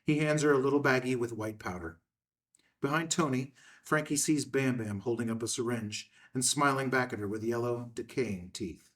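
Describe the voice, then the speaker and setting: A man's voice: mid-range voice, normal speed, distant mic. A man narrating scene headings and stage directions from a screenplay in a scripted read.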